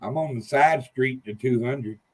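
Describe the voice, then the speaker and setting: A man's voice: medium pitch, unhurried. A man, a little tipsy, chatting as a guest on a livestream in a rambling, folksy way. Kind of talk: casual livestream chat.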